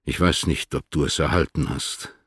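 An actor playing a dignified, courteous older poet speaking his letters in a literary radio drama, in a measured, formal, stately manner. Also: a bass voice, fairly even intonation, slightly rough, no room sound, studio quality. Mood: courteous reflection.

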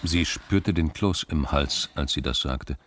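An actor narrating a radio crime drama as a sober, wry storyteller. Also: male voice, a bass voice, unhurried, slight background noise.

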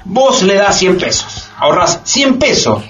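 An actor playing a streetwise know-it-all neighbour with a Latin American voseo accent, explaining with emphatic exasperation in a comic radio drama. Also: male voice, sits high, big pitch swings, unhurried, a bit bright, heavily acted. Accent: Latin American Spanish with voseo. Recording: dull, distant radio recording, faint music.

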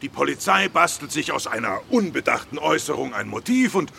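A very gravelly, fairly high male voice for an irascible business boss in a radio crime play. He is self-righteous and indignant, with a sharp, forceful edge. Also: sing-song, brisk, slight background noise, heavily acted. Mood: indignant, blustering. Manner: blustering, indignant. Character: irascible, self-righteous poultry tycoon, murder suspect. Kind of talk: radio crime drama acting.

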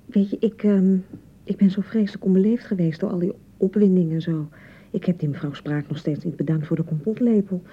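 A woman's voice: fairly low pitch, fairly quick, husky. A woman acting a touchy, hurt and unsure young newlywed bride in a radio drama, defensive and hesitant in manner. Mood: nervous, flustered. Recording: dull, slightly muffled 1990 radio broadcast.

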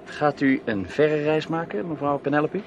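An actor in a Dutch radio play playing a courteous, inquisitive gentleman traveller with a formal, polite manner. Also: fairly high pitch, normal speed, dull audio, natural voice. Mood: gallant. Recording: aircraft engine noise behind.

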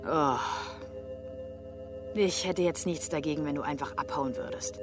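An actress playing a tough street prostitute, mocking and hard-edged toward a former lover, in a German radio drama. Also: female voice, low-pitched, unhurried, over music. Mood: bitter, teasing. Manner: sardonic, teasing, hard-edged.

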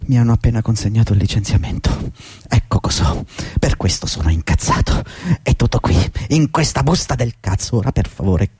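Radio drama casting: bitter older worker just sacked, husky, volatile delivery swinging widely in pitch, dry sound with faint music. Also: male voice, a little mellow, no room sound. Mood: angry, agitated. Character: desperate, bitter older male employee, just fired. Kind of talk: theatrical radio drama monologue and dialogue.

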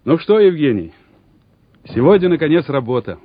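Resolute, very expressive company commander. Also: male voice, fairly high pitch, moderate pace, no echo. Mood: earnest, firm. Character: resolute young senior lieutenant, friendly, commanding company leader. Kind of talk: Soviet radio drama acting. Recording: muffled old radio drama recording, noisy.

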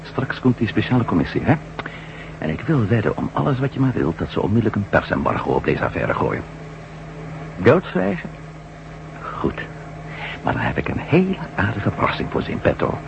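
A headstrong reporter and former astronaut in a radio play. His voice sits low but swings widely as he turns wry or indignant. The old recording is dull, with clear hiss and hum. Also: huge pitch swings, a little fast, long pauses, full character voice. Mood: sly, gleeful. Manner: indignant, wry, theatrical delivery. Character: headstrong, sardonic male ex-astronaut turned newspaper reporter. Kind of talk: radio drama acting in Dutch.

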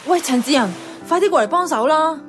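A woman's voice: high voice, lively intonation, fairly quick. Blunt young Hong Kong woman played by an actress over music. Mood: bossy, grumbling. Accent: Hong Kong Cantonese. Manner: blunt, colloquial. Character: blunt, bossy young career woman, privately lonely. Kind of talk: Cantonese radio drama dialogue. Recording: clear music playing behind the voice.

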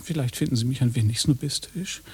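A man's voice: mid-range voice, brisk, a little mellow, husky. An actor playing a vain, pushy fake policeman in a German radio play, pompous, lecherous and pedantic. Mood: boastful.

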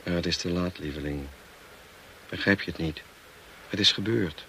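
A noisy old radio drama recording carries a tormented husband. His voice is very low and creaky. He speaks gravely and bitterly to his wife, with heavy dramatic pauses. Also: sharp tone. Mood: resigned, weary. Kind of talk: Dutch radio play acting.

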